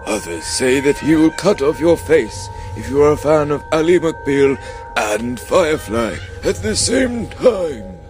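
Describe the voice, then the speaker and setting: Man playing a portentous wizard with swooping delivery. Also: medium pitch. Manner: portentous, dramatic pauses. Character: theatrical wizard guide, solemn, absurdly earnest. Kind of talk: comic radio play acting.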